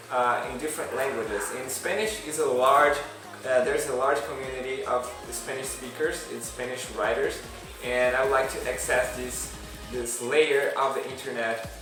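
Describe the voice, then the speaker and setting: A young man speaks English as an in a relaxed vlog, pausing and restarting his sentences as he talks. His voice sits at an ordinary pitch and rings around a strongly echoing room, picked up from a little way off the mic. Music plays clearly underneath. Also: recorded from a distance. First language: Portuguese. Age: young adult. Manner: hesitant, with frequent restarts and fillers. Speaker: language learning vlogger. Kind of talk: casual vlog monologue.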